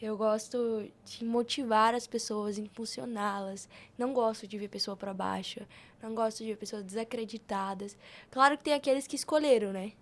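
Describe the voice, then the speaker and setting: A woman's voice: fairly high pitch, steady tone, normal speed. A young Brazilian woman telling personal stories in a casual, informal podcast conversation, often acting out bits of quoted dialogue. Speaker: podcast guest.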